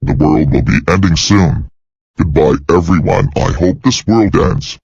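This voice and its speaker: A man's voice: low-pitched, a little slow, creaky, darkish tone, husky, dry recording, highs cut off, heavily acted. A man voicing a gloating male villain monster in an amateur emergency broadcast video.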